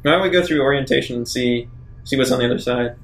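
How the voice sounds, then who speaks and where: A man's voice: mid-range voice, fast talker, faint music behind. A man chatting casually as a player in a tabletop roleplaying game, asking questions and proposing actions.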